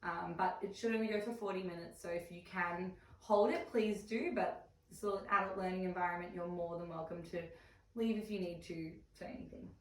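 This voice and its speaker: A woman's voice: on the low side, steady tone, a little fast. A woman leading a small first aid class as trainer, speaking in an affirming, encouraging way. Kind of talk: small group class instruction. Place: indoor room, small group class. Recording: echoey room, distant mic, faint noise.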